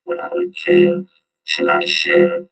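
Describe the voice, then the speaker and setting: Gravelly, very low-voiced man reacting off the cuff. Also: huge pitch swings, slow, a bit bright, soft background music, poor audio. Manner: informal, slangy, repeats himself for emphasis. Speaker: host commentator.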